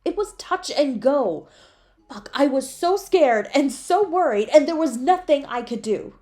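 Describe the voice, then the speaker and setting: A woman's voice: high voice, expressive, unhurried, dark tone. A woman playing a devoted, guilt-ridden superhero in an intimate, emotional audio roleplay, with a pleading, tender way of speaking. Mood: exasperated, distraught. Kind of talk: dramatic audio roleplay, intimate second-person.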